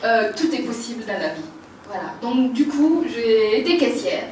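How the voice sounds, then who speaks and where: Woman, 40s, Burkinabe French accent, animated storyteller with hesitations; slightly high voice, distant mic in a reverberant room. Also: moderate pace, a little hiss or hum. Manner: animated, laughing, many hesitations. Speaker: makeup artist telling her life story. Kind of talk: personal life story talk. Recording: roomy, distant mic, faint ambience.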